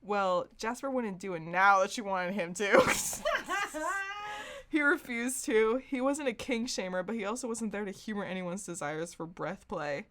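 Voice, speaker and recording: Female podcast host, mid-range pitch, comic read-aloud of fan fiction, giggle-prone, chatty asides between passages. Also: lively intonation, unhurried, fairly bright tone, a little hiss or hum. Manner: giggly, breaks into laughter while reading.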